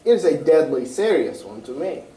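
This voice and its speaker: An amateur actor, a man, playing an anxious, proud European king in a radio drama style, formal, grave and halting. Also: fairly high pitch, sing-song, mellow. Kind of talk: 1940s-style radio mystery acting. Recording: roomy, distant stage recording with faint noise.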